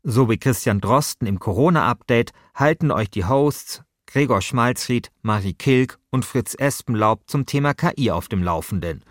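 A man's voice: mid-range voice, lively intonation, moderate pace, few pauses, no room sound, clean studio sound. A man, narrating a podcast from a script as its host.

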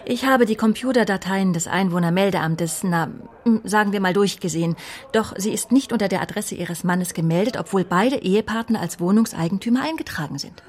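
An actress playing a cool, clever police secretary in a radio crime drama, poised and slightly sly. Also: female voice, medium pitch, a little fast, a little mellow, lightly acted. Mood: breezy, sly. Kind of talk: natural radio play acting.

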